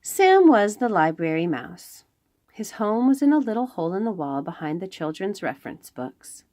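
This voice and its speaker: A woman reads a picture book aloud for young children. Her delivery is expressive and highly animated, with a mid-range voice that rises and falls widely. She leans on important words and leaves dramatic pauses. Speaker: children's read-aloud host.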